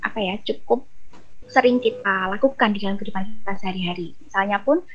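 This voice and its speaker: A woman's voice: medium pitch, fast, a little hiss or hum. Woman lecturer on a dull video call. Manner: conversational, thinks aloud. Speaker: university lecturer, webinar speaker. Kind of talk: explanatory answer to audience question. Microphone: headset on video call.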